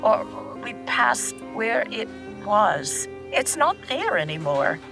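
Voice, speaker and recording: An elderly grandmother in an audio drama, candid and steady as she recalls her past. Her delivery is unhurried and reflective, with expressive swings in pitch. Faint music sits behind the voice. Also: creaky, heavily acted. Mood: gentle insistence. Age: older. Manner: reflective, measured storytelling.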